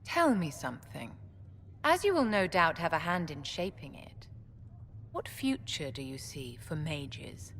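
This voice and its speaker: An actress playing a haughty, elegant court mage in a video game, measured and condescending. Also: female voice, sits low, big pitch swings, fairly bright tone, full character voice. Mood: pointed, superior. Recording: reverberant hall, faint background noise.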